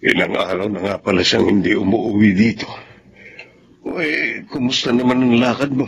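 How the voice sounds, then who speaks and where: Casting: middle-aged Filipino patriarch, grave radio-drama delivery, lowish rough-edged voice. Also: male voice, steady tone, moderate pace, fairly bright tone, full character voice. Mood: worried. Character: grave middle-aged father burdened by a secret.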